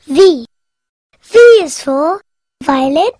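Giggly cartoon piglet girl; very high, slow, sing-song delivery, music underneath. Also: no room sound, clean studio sound. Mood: cheerful. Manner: bright, giggly, sing-song letter reciting. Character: cheerful little girl piglet. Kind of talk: cartoon alphabet lesson.

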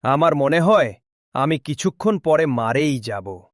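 Man acting a high-pitched starving old beggar. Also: animated, measured pace, no room sound, clean studio sound. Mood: weak, suffering. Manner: pleading, plaintive. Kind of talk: cartoon story acting.

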